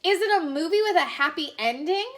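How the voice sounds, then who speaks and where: A woman's voice: very high-pitched, expressive, fairly quick, fairly bright tone, pitched above the performer's own voice. A woman voicing an eager, curious little boy in a storybook read-aloud.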